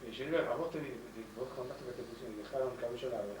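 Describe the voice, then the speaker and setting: Male interviewer, gentle questions, far and roomy. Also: mid-range voice, quick pace. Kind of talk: oral history interview. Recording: roomy, distant, clear background noise.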